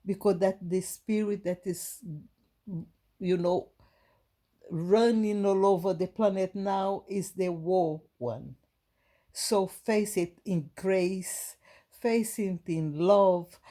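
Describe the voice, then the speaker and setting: A woman from Brazil with a Brazilian Portuguese accent, giving a gentle, halting inspirational talk to her viewers with many restarts. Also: medium pitch. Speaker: spiritual vlogger.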